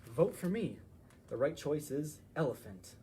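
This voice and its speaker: A self-admiring storybook elephant acted out by a man, cutesy and coaxing. The delivery is highly animated, rising and dropping in pitch, with slight noise in the recording. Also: measured pace. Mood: proud. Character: vain, cutesy cartoon elephant rival candidate. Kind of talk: picture-book read-aloud.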